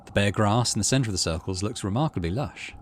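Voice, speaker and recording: A man's voice: lowish voice, quick pace, a little hiss or hum. A man hosting a gaming video, reading story text aloud and adding casual commentary.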